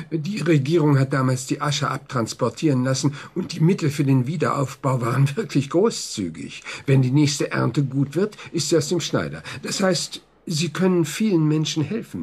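An actor playing a suave, probing psychiatrist in a radio drama, husky and soothing in a professional manner. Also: male voice, a little high, heavily acted. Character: suave, reassuring male psychiatrist, discreet and probing. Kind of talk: German radio drama acting.

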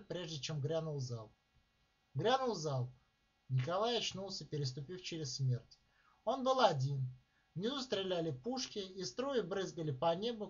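A man reading his own short story aloud in a measured, deliberate way, with dramatic pauses, at a live reading. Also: sits high, breathy edge. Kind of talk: literary reading of prose. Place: live reading at a writers' club. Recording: dull lo-fi recording.

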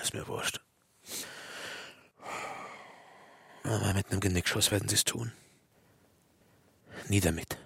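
An actor playing a wary, brooding office worker, muttering clipped inner thoughts in a radio drama. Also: male voice, fairly low pitch, gravelly, husky, no echo, full character voice. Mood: fatalistic. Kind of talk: radio drama interior monologue. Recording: music behind the voice.

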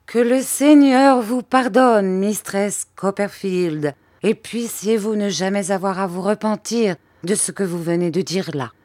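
A woman's voice: mid-range voice, a little slow, few pauses, darkish tone, no room sound, pitched above the performer's own voice, natural voice. A woman acting a stubborn, loyal maidservant. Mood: solemn reproach. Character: loyal, indignant woman servant, respectful but stubborn.

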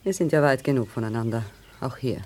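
A woman playing a proud, guarded wife in 1970s radio drama, restrained and measured as she deflects. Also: rumbling low, expressive, darkish tone. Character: cool, guarded, proud wife parrying her husband.

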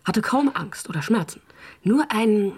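An actress playing an excitable esoteric woman convinced of her past life, chatty and self-assured, in a naturalistic radio drama. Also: medium pitch, normal speed, breathy edge. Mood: enthralled.

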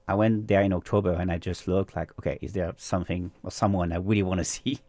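A man's voice: low-pitched, fairly even intonation, fast, darkish tone, dry recording. A man co-hosting a radio show, chatting loosely with fillers and chuckles. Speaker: radio co-host. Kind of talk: casual radio chat.

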